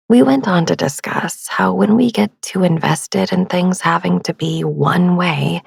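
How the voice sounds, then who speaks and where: A woman hosting a sleep meditation podcast, speaking gently and soothingly in a soft, breathy near-whisper, unhurried. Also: lowish voice, no echo.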